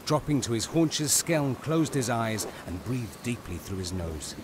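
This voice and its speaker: A man narrating a scripted audio drama in a grave, deliberate, dramatic way. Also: mid-range voice, expressive, background noise.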